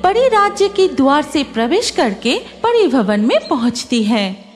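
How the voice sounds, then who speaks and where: A woman's voice: high-pitched, very animated, a little slow. A woman narrating a scripted fairy tale for children as the storyteller.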